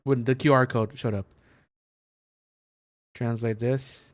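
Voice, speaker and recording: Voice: mid-range voice, fairly even intonation, takes it slowly. A video game streamer giving casual, rambling play-by-play commentary, muttering to themself and trailing off.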